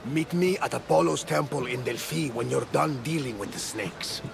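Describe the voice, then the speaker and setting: Gravelly, good-humoured old sea captain. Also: male voice, mid-range voice, normal speed. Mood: concerned. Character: loyal, devout older ship captain, male, good-humoured adviser. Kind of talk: video game cutscene acting. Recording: background music under the voice.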